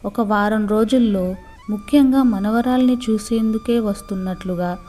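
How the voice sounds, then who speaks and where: A woman's voice: mid-range voice, normal speed, dark tone, faint music behind. A woman reading a scripted moral story for children as a storyteller.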